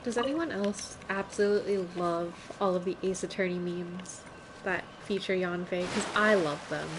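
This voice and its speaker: A woman's voice: fairly low pitch, normal speed, background noise. A woman game streamer giving casual live commentary, thinking aloud in a rambling way with chuckles slipped into her sentences. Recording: game music loud behind the voice. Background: loud video game music.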